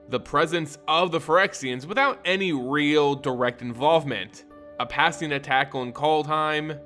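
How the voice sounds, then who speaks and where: Bright, animated male narrator over faint music. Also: on the high side. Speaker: host of a fantasy lore channel. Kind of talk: scripted narration and commentary.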